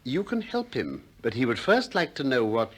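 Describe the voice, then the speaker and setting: An actor playing a probing, gently ironic Greek philosopher who also narrates, in a 1960s radio play. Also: male voice, mid-range voice, big pitch swings. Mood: courteous, inquiring. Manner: questioning, gently ironic, deliberate.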